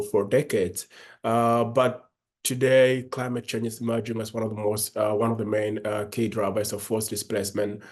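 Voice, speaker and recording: A man from South Sudan giving earnest panel remarks, halting and repeating words as he speaks. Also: medium pitch, a little mellow.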